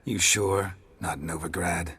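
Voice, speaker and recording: A man playing a stern, gruff wandering mercenary in a video game, husky and gravelly, speaking tersely in a dry deadpan. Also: sits low, slow pace, heavily acted. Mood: dry suspicion. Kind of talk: video game character dialogue.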